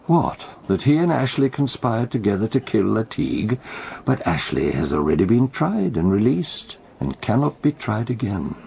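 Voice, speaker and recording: A shrewd armchair detective in a radio play. His voice is very deep and gravelly, yet lively in inflection. He explains crimes in a dry, knowing, measured way. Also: exaggerated voice. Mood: wry, grim. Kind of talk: radio drama acting.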